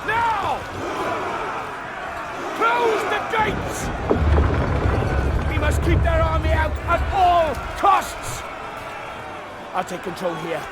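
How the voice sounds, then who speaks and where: A man's voice: very high voice, big pitch swings, very slow, long pauses, exaggerated voice. An actor playing a commanding Roman military man barking battle orders in a dramatic video game style. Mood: urgent, commanding. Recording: noisy battle din, shouting crowd behind.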